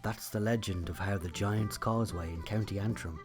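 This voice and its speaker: A man's voice: lowish voice, normal speed, over music. A man giving scripted storytelling narration of a mythic legend.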